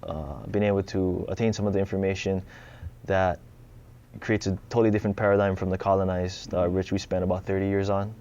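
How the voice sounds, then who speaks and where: A young man speaking as a guest on a TV studio panel, calm and earnest, steady with fillers. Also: low voice, brisk, clean tone, dull audio. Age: young adult. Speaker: student and teacher, panel guest.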